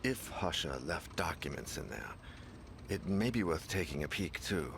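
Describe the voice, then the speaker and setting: A deep-voiced, pensive male investigator in a video game. He muses in a measured way as he works through clues and documents. Clear background noise sits behind his voice. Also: sing-song, fairly bright tone, full character voice. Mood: musing, curious. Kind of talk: scripted game dialogue, thinking aloud and reading documents.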